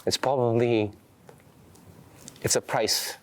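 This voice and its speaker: A young man whose first language is Farsi, giving earnest, halting interview answers full of restarts. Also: medium pitch, fairly even intonation. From Canada. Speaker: activist guest.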